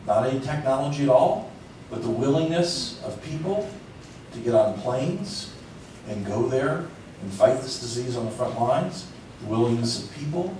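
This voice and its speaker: A man's voice: mid-range voice, a little mellow, slight background noise. A man giving earnest, reflective remarks as a panelist. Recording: echoey hall, voice picked up from far off.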